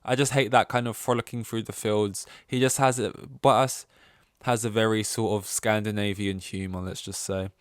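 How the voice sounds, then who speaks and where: Guy hosting a podcast, lowish voice, rambly sarcastic sports takes w swearing, really dry close sound. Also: flat delivery, moderate pace, smooth, no room sound. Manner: rambling, sweary, sarcastic, acts out mock quotes. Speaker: podcast host. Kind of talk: casual opinionated sports ranking commentary.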